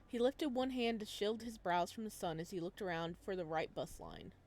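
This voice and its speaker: Female let's-play host, mid-pitched, chatty and playful, switching into calm read-aloud narration. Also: normal speed. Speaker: gaming video host. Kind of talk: casual let's-play commentary, reading game text aloud.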